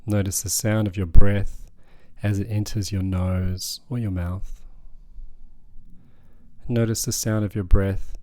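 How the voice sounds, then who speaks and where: A man guides a meditation in a low, very mellow voice. His delivery is calm and soothing, with gentle instructions and room left between phrases. The sound is dry, with no room echo. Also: slow pace. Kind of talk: guided body scan meditation.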